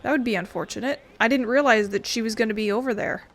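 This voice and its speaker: A woman's voice: fairly high pitch, fast. A woman doing a casual let's play commentary as a game streamer, rambling and slangy with casual swearing. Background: faint game music.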